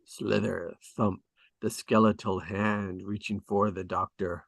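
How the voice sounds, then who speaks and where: A man narrating screenplay stage directions at a table read in a measured, descriptive way, with short dramatic phrases. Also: on the low side, flat delivery, vocal fry, dry recording, clean studio sound.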